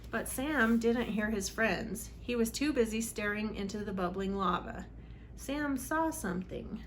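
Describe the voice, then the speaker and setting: A woman's voice: mid-range voice, a little slow, slight background noise, low-quality recording. A woman reading a storybook aloud for children in a dramatic, emphatic way. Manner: dramatic, emphatic on exciting moments. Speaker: read-aloud host for children.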